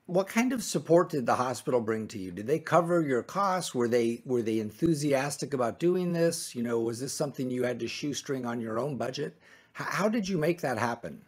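A man in his fifties, a physician, speaking conversationally as the interviewer on a video call. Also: on the high side. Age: 50s. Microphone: desk microphone close in front, video call.

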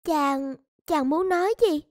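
A woman voicing a gentle young cartoon princess, a dutiful daughter who speaks politely and deferentially. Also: sits high, slow, no echo, clean studio sound. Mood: hesitant. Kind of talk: cartoon fairy tale dubbing.

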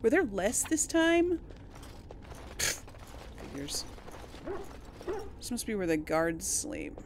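A woman giving casual, expressive gameplay commentary, reacting aloud and talking to the game's characters. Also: mid-range voice, takes it slowly, background noise. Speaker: gamer narrating a role-play let's play. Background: game music behind the voice.

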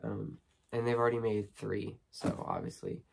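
A teenage boy with a cold, hoarse, chatting casually with many ums and restarts while unboxing movies in his bedroom. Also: on the low side, wildly swooping pitch, normal speed, slight background noise. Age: teens. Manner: rambling, lots of ums and restarts. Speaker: horror movie vlogger. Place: small bedroom studio with shelves.

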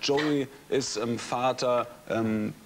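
A man in his thirties hosts a television talk show in a studio with an audience. He asks questions at an ordinary pitch and keeps the talk going with attentive murmured acknowledgments. A faint studio hum sits behind his voice. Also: steady tone, extremely slow.

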